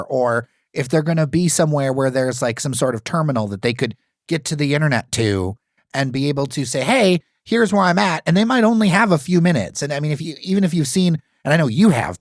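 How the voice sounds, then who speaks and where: A man's voice: fairly high pitch, no room sound, clean studio sound. A man hosting an interview podcast, speaking in an earnest, emphatic way with long run-on sentences. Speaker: podcast host. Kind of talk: casual interview talk.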